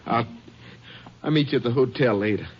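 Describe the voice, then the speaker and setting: An actor playing a young married father in a 1950s radio drama, earnest and lively. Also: medium pitch, a little fast, lightly acted. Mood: shaken. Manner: earnest, rising to anguished shouts. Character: young married father, shocked grieving son. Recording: muffled 1950s radio recording with hiss.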